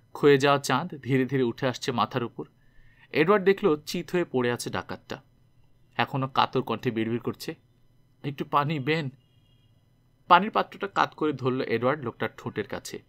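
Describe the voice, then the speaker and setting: A man narrating a scripted story dramatically, with expressive delivery as an audiobook reader. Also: mid-range voice, a little fast.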